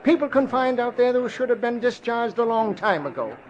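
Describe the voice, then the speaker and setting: Brash, high-pitched radio newspaperman. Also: animated, moderate pace. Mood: insistent, suspicious. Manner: emphatic, slangy, urgent. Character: brash, suspicious male newspaperman in a radio thriller. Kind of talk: 1940s radio acting. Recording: dull old radio recording.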